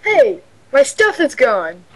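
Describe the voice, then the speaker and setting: A woman's voice: high-pitched, huge pitch swings, slow pace, poor audio. A young woman playing a game with friends, goofy and given to silly outbursts. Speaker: gaming friend. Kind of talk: casual multiplayer gaming chat.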